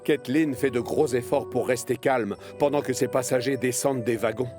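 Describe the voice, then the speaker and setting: A man's voice: medium pitch, steady tone, moderate pace. A man narrating a scripted children's cartoon story. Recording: music behind the voice.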